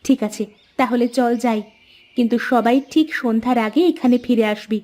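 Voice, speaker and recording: Woman acting a high-voiced devoted young sister. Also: steady tone, brisk, dark tone, lightly acted. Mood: determined, caring. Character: devoted young village wife, one of three brave sisters. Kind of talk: Bengali moral-tale cartoon dubbing. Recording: music under the voice.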